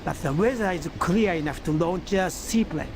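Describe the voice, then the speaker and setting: An actor playing an urgent, anxious submarine officer in a dramatic war game. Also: male voice, high voice, moderate pace, background noise. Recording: music behind the voice.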